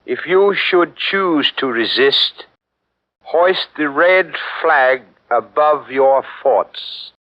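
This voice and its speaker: An actor playing a stern young Chinese warlord in a 1940s radio drama, speaking slowly and solemnly. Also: male voice, a little high, lively intonation. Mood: commanding. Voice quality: slow, measured, solemn. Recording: muffled 1940s radio broadcast.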